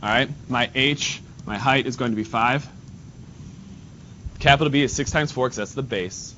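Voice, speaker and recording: A man's voice: moderate pace, pushed and bright. A male math teacher with a nasal voice, teaching a class in a casual way and talking to students while he works at the board. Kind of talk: classroom lesson. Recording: dull lo-fi sound, faint rumble.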